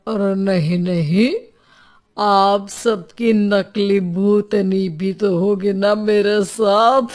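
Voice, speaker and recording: Woman voicing a slow-speaking, sceptical young man. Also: medium pitch, fairly even intonation, a little mellow. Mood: confident. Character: sceptical, level-headed young man calming frightened neighbours.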